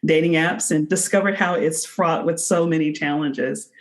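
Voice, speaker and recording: A woman's voice: low voice, steady tone, normal speed, poor audio. A woman in her forties answering interview questions as a podcast guest, explaining things earnestly in a conversational way. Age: 40s. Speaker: podcast guest, company founder.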